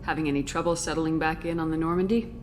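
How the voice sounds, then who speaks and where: An actress playing a steady, reassuring female military commander in a video game, composed and direct. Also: low-pitched, fast talker, a little hiss or hum, natural voice. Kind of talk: video game character acting. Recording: game audio with faint ship ambience.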